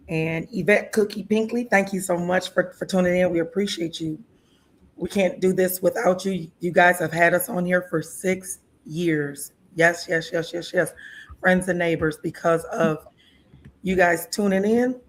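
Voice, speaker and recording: A woman in her sixties hosting an interview show, chatty and laughing often. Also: on the low side. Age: 60s. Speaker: host. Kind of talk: casual interview talk.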